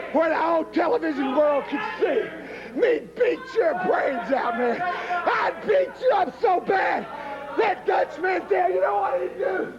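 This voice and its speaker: Shrill, shouting wrestler. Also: male voice. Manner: loud, taunting, boastful, repetitive. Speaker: wrestler cutting a promo. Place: TV wrestling studio with live crowd. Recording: dull TV audio, crowd noise.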